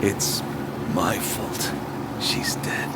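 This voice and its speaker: An actor playing a haunted, wounded detective, narrating a grim horror audio drama with grave intensity. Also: male voice, a bass voice, very slow, heavy background noise, full character voice. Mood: grieving, exhausted. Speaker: narrator and lead character. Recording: loud music bed throughout.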